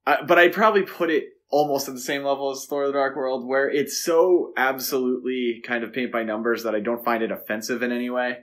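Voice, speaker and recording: A man's voice: mid-range voice, steady tone, brisk, few pauses, fairly bright tone. A man co-hosting a podcast, talking casually about films in a conversational way. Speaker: podcast co-host. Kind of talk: casual film discussion.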